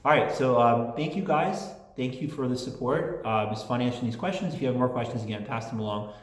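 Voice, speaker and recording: A man's voice: mid-range voice, fast. Guy rambling through fan mail on his channel, chatty, lots of restarts, kinda roomy echo. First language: English and Polish. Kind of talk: casual chat, reading listener letters.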